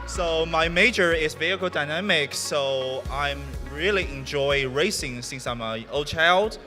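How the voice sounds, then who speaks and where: A Chinese man, a sim racer whose first language is Mandarin, introducing himself on stage in halting English with frequent restarts. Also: a little high, big-room sound, music in the background. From China. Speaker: sim racing competitor. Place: event stage, microphone in a hall.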